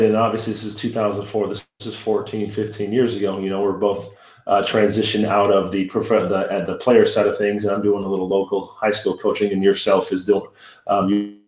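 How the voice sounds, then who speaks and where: A male podcast host who is also a high school coach, asking long rambling questions with many restarts. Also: darkish tone, roomy sound, poor audio. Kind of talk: podcast interview.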